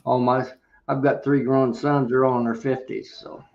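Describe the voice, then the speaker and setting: A man's voice: medium pitch, level tone, normal speed, creaky, dull audio, a crowd in the background. An older American man, around his seventies, chatting casually on a group live chat. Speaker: panelist on a beekeeping live chat.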